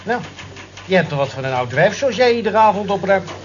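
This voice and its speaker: An actor in an old radio play voicing a dismissive, mocking office colleague with an offhand, scornful manner. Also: male voice, high-pitched, lively intonation, a little fast. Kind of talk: vintage radio play acting. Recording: dull, noisy old radio play recording.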